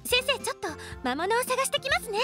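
A woman playing a kind, earnest young teacher in an anime-style radio drama, polite and gentle but determined. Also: very high-pitched, big pitch swings, fast talker, pitched above the performer's own voice. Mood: apologetic. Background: music.

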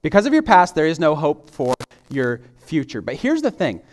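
A man in his thirties preaching a sermon on a church stage, moving from a quiet prayer to emphatic, climbing preaching. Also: a little high, wildly swooping pitch, normal speed. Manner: prays softly, then preaches with rising, emphatic intensity. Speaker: pastor. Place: church stage, large auditorium. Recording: long hall reverb.

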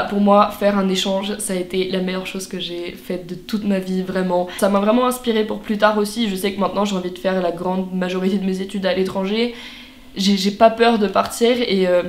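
A woman's voice: mid-range voice, fairly even intonation, a little fast, reverberant. A teenage girl from France vlogging casually to camera in her bedroom, chatty with lots of fillers and restarts. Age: teens. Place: bedroom, indoors.

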